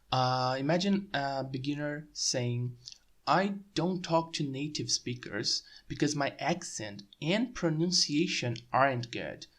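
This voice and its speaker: A man of medium pitch hosts a casual conversation about learning English. He reads out questions, hesitates often, and reacts with short approving words. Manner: friendly, hesitant, many fillers between questions. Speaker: host. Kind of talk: hosting a casual language-learning interview.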